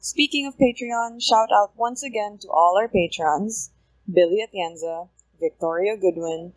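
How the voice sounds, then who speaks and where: A woman's voice: mid-range voice, measured pace, dry recording, poor audio. A woman podcaster reading a friendly scripted outro in an upbeat, chatty way. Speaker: podcast co-creator reading credits.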